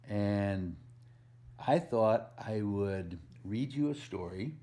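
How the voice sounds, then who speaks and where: A man reads a picture book to small children at a very unhurried pace, in a mid-pitched voice. He leans on the rhymes and pauses to explain things simply or put a question to the young listeners. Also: darkish tone. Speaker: volunteer children's story reader. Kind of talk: read-aloud picture book storytime for preschoolers.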